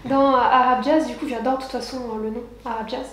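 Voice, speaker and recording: A woman's voice: on the high side, fairly even intonation, a little fast, fairly bright tone. A woman interviewing someone in a casual, familiar, chatty style. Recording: very echoey room, faint noise, clicks.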